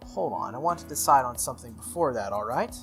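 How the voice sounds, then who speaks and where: A man voicing a proud, bossy teenage girl from a visual novel, speaking in a brisk, demanding, slightly haughty way. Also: mid-range voice, expressive, gravelly. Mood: brisk, decisive. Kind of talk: visual novel character reading. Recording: music playing behind the voice.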